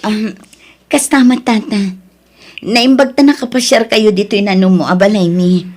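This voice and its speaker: A woman's voice: mid-range voice, moderate pace, a little mellow, natural voice. A woman with an Ilocano Filipino accent playing an inquisitive elder female relative in an audio drama. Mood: welcoming.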